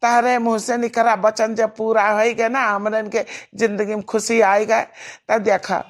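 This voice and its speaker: A woman's voice: on the high side, little pitch movement, measured pace, natural voice. A woman voicing a grateful, reverent villager with an Awadhi accent in a comedy cartoon.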